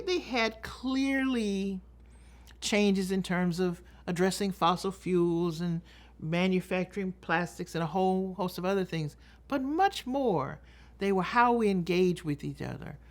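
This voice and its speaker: Older American female orator, lowish pitch, emphatic and measured, with weighted pauses for an impassioned speech. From United States. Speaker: public speaker, veteran delegate. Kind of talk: impassioned public talk.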